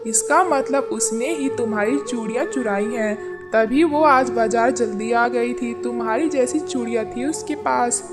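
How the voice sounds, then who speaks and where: A woman's voice: a little high, measured pace, few pauses, pitched below the performer's own voice, close to an everyday voice. A woman voicing an inquisitive, chatty male parrot in a children's cartoon. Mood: accusing. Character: friendly, inquisitive male parrot, shrewd helper. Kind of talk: scripted children's cartoon story. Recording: music behind the voice.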